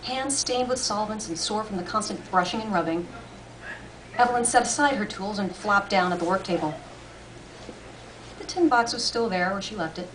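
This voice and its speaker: Expressive woman narrator with lively pitch. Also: normal speed. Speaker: story reader. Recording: distant mic, faint room noise.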